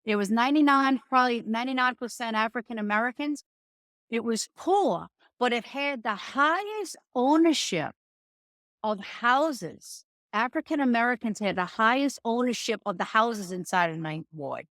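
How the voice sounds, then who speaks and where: A woman's voice: fairly high pitch, animated, moderate pace, fairly bright tone, no room sound, studio quality. A middle-aged woman from New Orleans with a local accent, telling stories in a folksy, chatty way as a podcast guest. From New Orleans, Louisiana. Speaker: guest, restaurant owner. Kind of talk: casual interview chat.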